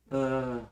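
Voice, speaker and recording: A hoarse man hosting a stock market livestream, casually walking through charts and answering chat, calling viewers bro and man. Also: mid-range voice, darkish tone. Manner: laid-back, calls viewers bro and man. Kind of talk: casual live chart commentary and chat replies.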